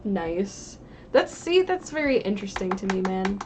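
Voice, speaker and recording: A woman's voice: medium pitch, big pitch swings, moderate pace, noisy background. A woman livestreaming in a casual, informal way, rambling and thinking aloud as she chats with viewers. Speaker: live streamer drawing digital art and chatting with viewers.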